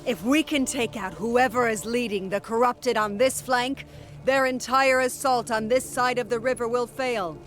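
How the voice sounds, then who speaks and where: A woman's voice: a little high, fairly even intonation, moderate pace, a bit bright, lightly acted. A woman playing a dutiful, grave military officer in a fantasy game, speaking formally as in a briefing. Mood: grave, urgent. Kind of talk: dramatic fantasy game cutscene acting.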